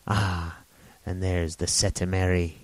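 A man voicing a half-wild marooned old sailor who rambles slyly in rough sailor grammar, in an audiobook reading. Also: low-pitched, flat delivery, slow. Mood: rambling. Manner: rambling, sly, confiding, with rustic sailor grammar. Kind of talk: audiobook character voice.

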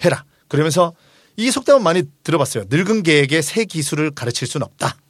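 A man's voice: a little high, lively intonation, fast talker, no room sound, clean studio sound. A man in his forties hosting a book discussion, explaining ideas conversationally and emphatically. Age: 40s. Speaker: host of a book discussion show; restaurant owner.

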